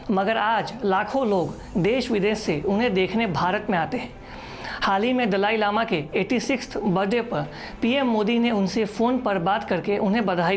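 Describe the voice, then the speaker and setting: A woman reading a scripted news explainer as a narrator. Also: mid-range voice. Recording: voice-over with music underneath. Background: background music.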